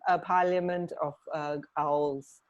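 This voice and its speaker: A woman co-hosting a radio show in casual chat, halting and often rephrasing mid-sentence. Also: low voice, steady tone, lo-fi sound, slight background noise.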